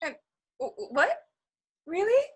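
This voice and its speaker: A woman's voice: extremely high pitch, very animated, a little slow, heavily acted. A woman playing a nervous, eager young aspiring novelist in a stage play, hesitant, stammering and prone to rambling. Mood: nervous, polite. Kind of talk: one-act stage play dialogue.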